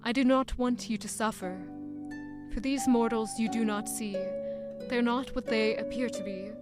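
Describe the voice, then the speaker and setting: An actress playing a sorrowful otherworldly woman, reciting verse slowly and solemnly. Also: a little high, fairly even intonation, close to an everyday voice. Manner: slow, solemn poetic recitation. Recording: harp music underneath.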